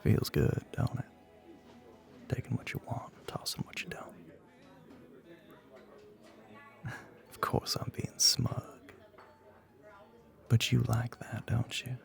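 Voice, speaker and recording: A man playing a smug, flirty, middle-aged bartender with a Southern US accent in an intimate ASMR roleplay, smooth-talking and often dropping toward a whisper. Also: mid-range voice, monotone, a little slow, pauses often, faint music behind. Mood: smug, seductive. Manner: drawling, smooth-talking, pet names, often breathy near-whisper.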